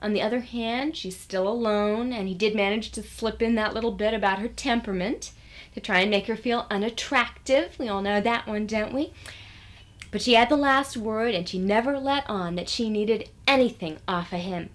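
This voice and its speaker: A woman playing a brisk, condescending seminar leader in a satirical radio lecture, coaxing her listeners. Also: fairly high pitch, close to an everyday voice. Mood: smug instruction. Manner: patronizing, coaxing the audience.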